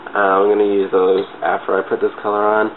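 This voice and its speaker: A man's voice: fairly low pitch, monotone, brisk. A man with a congested, stuffy voice from a cold, chatting casually and a little loosely through a makeup tutorial. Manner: informal, giggly, loosely rambling. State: has a cold. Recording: muffled phone-like webcam-style audio, clear noise.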